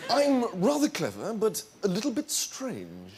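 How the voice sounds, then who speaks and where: Silly, high-pitched British prince. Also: male voice, wildly swooping pitch, unhurried, exaggerated voice. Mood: silly earnest. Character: dreamy, oddball young British royal princes in parody. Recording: noisy live stage with crowd.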